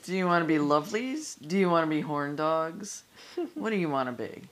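Low-voiced giggly podcast co-host woman. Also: lively intonation, a little fast. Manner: giggly, bubbly, playful. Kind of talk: casual chat.

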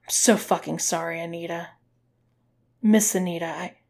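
A woman acts out a guilt-ridden man in an audiobook drama. He is submissive and agitated, confessing intensely in a creaky voice. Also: lowish voice, steady tone, normal speed, a little mellow. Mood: desperate, apologetic.

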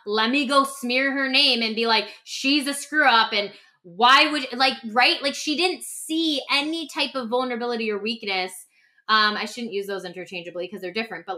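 A woman with a fairly high, very bright voice talks as a podcast host and coach. Her delivery is conversational and emphatic, with loose, informal phrasing and an animated way of making her points. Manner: animated, emphatic, acts out imagined quotes; informal, peppered with "like". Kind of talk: casual conversational podcast talk.

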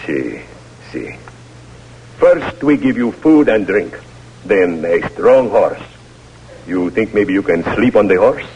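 An actor playing a grave, courteous Cuban general in an old radio drama, speaking measured, formal English with a Cuban Spanish accent. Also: male voice, lowish voice, very animated, darkish tone, a little hiss or hum, heavily acted. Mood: gracious, calm. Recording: muffled vintage radio recording.

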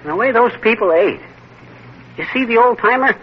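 An actor playing a boastful, bumbling middle-aged husband in a 1940s radio comedy, folksy and chuckling. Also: male voice, very high-pitched, animated, normal speed, dull audio. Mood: jovial. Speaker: sitcom lead. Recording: old radio broadcast with hiss.

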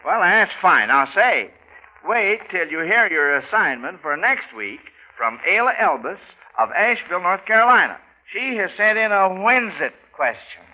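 An American man hosting an old live radio quiz, chatty and a little hesitant, repeating answers back. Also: high voice. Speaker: quiz show host. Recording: dull, noisy vintage radio recording.

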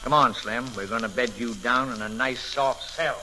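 An actor playing a tough, decisive sheriff in an old radio drama, forceful, folksy and wry. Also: male voice, mid-range voice, normal speed. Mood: wry authority. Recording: noisy vintage radio recording.

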